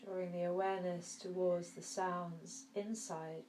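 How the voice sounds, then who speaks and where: A woman teaching yoga nidra, guiding a relaxation meditation in a soft, soothing, gentle manner with long pauses. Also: fairly low pitch, flat delivery. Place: indoor room. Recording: roomy echo, faint background noise.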